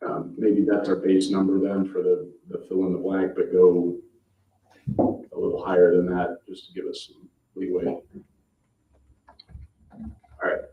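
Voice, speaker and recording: Very deep-voiced man chairing a meeting. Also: completely flat delivery, measured pace, long pauses, slight background noise. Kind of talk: informal chairing of a town board meeting. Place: indoor public meeting room. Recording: muffled, echoey meeting room, distant mic.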